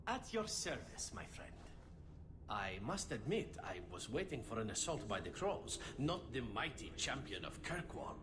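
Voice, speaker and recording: An actor playing a flirtatious, roguish former assassin in a video game, with a suave, teasing, theatrical manner. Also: male voice, medium pitch, big pitch swings, measured pace, noisy background. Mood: smooth, flattering. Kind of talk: video game character acting.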